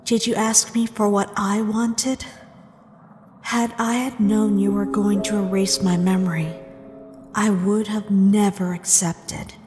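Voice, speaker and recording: A woman plays an indignant young princess in a comic drama, wounded and accusing. She speaks slowly and emphatically, drawing out her words, with long reverb and music behind her. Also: mid-range voice, level tone, a little mellow, close to an everyday voice. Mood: stern, disappointed. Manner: accusing, emphatic, drawn-out delivery. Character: betrayed, indignant young princess confronting a friend. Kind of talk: dramatic comic dub acting.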